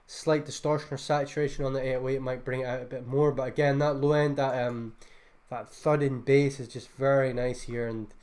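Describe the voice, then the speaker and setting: A man's voice: medium pitch, flat delivery, fairly quick, a little mellow. A man, a music producer, reviewing beats in a casual livestream, laid-back and enthusiastic, loose with fillers. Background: faint beat music.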